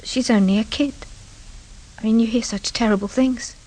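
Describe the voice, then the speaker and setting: A distraught, protective mother played by an actress speaks in a fairly high voice. She is tearful and halting, pleading anxiously in a radio crime drama. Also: steady tone, mellow, lightly acted, rough recording. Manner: hesitant, emotional, breathless pleading.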